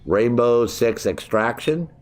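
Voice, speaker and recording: A man's voice: medium pitch, lively intonation, slow. A man casually streaming a shooter game, chatty and profane, with a soothing voice. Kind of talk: casual live-stream gaming commentary. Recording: game gunfire over voice.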